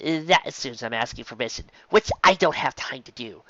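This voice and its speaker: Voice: medium pitch, lively intonation, normal speed, a bit bright, dry recording, lo-fi sound, lightly acted. A reader voicing a flustered, boastful female unicorn pony in a storybook reading, stammering and blurting urgently. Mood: frantic, hurried.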